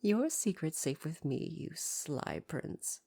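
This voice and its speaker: A man's voice: on the high side, sing-song, slow, gravelly, darkish tone, heavily acted. A man playing a devoted, proper royal butler in an ASMR roleplay, speaking to the listener in a formal, deferential, fussily attentive way. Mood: sly, confiding.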